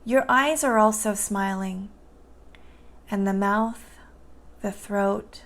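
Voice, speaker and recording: A woman guiding a meditation in a soothing, gentle and unhurried manner. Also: mid-range voice. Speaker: meditation guide. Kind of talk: guided meditation narration.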